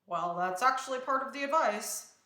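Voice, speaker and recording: A storybook spirit guide, voiced by a woman reader, gives chiding advice with pointed questions. The voice is bright, with audible room echo. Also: high voice, animated, moderate pace. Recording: roomy, echoing sound.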